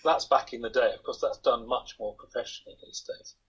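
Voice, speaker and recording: A man's voice: mid-range voice, steady tone, brisk, husky. An older man chatting as an interview guest over a video call from his home, in a rambling, self-deprecating way. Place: home room, indoors. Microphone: laptop webcam on a video call. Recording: dull lo-fi video call audio.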